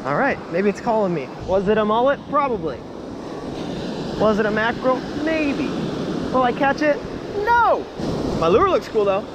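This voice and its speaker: A high, lively male voice jumps up and down in pitch as he chats about his gear and makes fun of his own fishing. He is recorded on an action camera at the beach, with wind and crashing surf loud behind him. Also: moderate pace, long pauses. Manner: chatty, self-mocking, excitable when a fish seems near. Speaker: fishing vlogger. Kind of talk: self-deprecating casual vlog narration. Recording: windy outdoor beach audio with surf noise. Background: wind and crashing waves.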